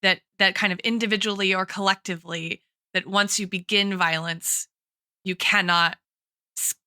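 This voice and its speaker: Bright, crisp-voiced woman thinking aloud. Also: mid-range voice, steady tone, moderate pace, no room sound, clean studio sound. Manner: thinking aloud, checks in with "right?". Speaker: podcast co-host and guest expert. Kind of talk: casual analytical podcast discussion.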